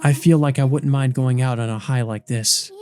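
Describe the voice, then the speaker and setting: A man voicing a dying, tender and weary ancient vampire woman from a visual novel, speaking faintly and haltingly. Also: medium pitch, fairly even intonation, darkish tone, soft background music, lightly acted. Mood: faintly happy. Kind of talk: visual novel character reading.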